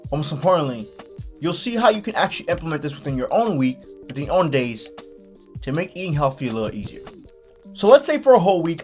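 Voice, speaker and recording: Young man, fitness coach, from Haiti, blunt, casual slang, animated delivery. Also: on the high side. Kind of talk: motivational talk to camera.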